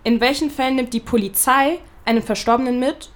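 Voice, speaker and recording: A young actress playing a pragmatic, sceptical best friend in a naturalistic audio drama, speaking casually. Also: female voice, high voice, a little fast, natural voice. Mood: practical. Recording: clear background ambience noise.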